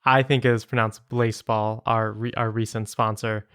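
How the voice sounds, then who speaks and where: A man co-hosting a podcast, telling stories in a casual, halting conversational way. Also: lowish voice, flat delivery, fairly bright tone, clear, resonant tone, no echo, clean studio sound. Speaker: podcast co-host. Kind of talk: casual chat.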